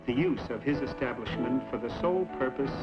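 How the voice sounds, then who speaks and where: An actor playing a meek, unctuous frontier undertaker who talks in pious, flowery, ingratiating phrases in an old western. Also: male voice, medium pitch, lively intonation, fairly quick, over loud music, close to an everyday voice. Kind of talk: old western screen drama acting. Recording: dull old film soundtrack.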